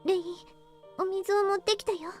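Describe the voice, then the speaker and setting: A woman's voice: very high voice, steady tone, fast talker, soft background music. An actress playing a caring, earnest young anime girl in a visual novel. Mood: caring, businesslike. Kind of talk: Japanese visual novel voice acting.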